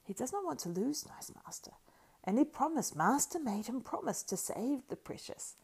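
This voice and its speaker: A woman voicing a wretched, cringing male creature who wails and pleads in a shrill, squeaky whisper. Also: big pitch swings, normal speed, vocal fry, a little mellow, breathy edge, exaggerated voice. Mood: whining. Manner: wailing, pleading, singsong.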